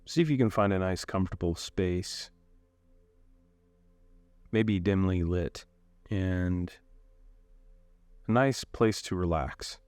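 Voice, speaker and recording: A man leading a guided meditation in a calm, unhurried way, giving gentle instructions with long pauses. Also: deep voice, smooth, no room sound.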